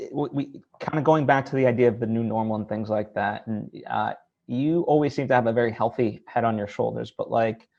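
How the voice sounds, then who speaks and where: A man's voice: medium pitch, vocal fry, a little mellow, highs cut off. A man hosting a conversational interview, feeling his way thoughtfully through long questions. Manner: hesitant, thoughtful, rambling questions. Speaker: interviewer, show host.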